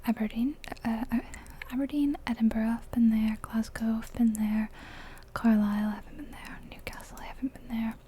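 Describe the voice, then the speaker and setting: A woman's voice: a little high, steady tone, normal speed, mellow. A woman whispering her way through a game in a chatty, rambling ASMR style. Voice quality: breathy unvoiced whisper. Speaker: ASMR gaming creator. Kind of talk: whispered ASMR gameplay commentary.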